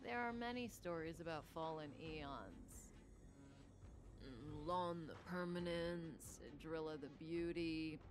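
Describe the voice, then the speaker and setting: A woman's voice: low-pitched, gravelly, a little hiss or hum, heavily acted. A woman playing a sly, composed, worldly female villain in a video game, speaking in a teasing, knowing manner. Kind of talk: scripted video game voice acting.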